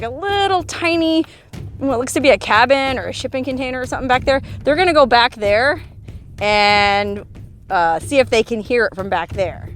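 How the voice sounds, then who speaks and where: A woman talking casually to camera outdoors, chatty and animated, with slangy wording, as the host of a vlog. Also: on the high side, slight background noise. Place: outdoors on a desert hilltop.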